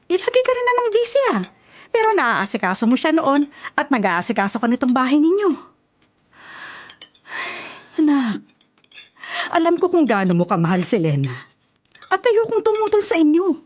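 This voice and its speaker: A woman's voice: sits high, sing-song, normal speed, pauses often, lo-fi sound. An actress playing an older, worried and caring Filipino mother in a radio drama, fussing and nagging at her grown son. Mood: concerned.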